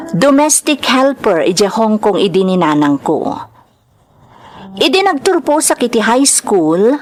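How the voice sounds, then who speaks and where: A woman playing a worried young woman in an audio drama, with an Ilocano accent, telling her family's story. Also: mid-range voice. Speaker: actor in a radio-style drama. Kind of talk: dramatized narration and dialogue. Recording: clear background noise.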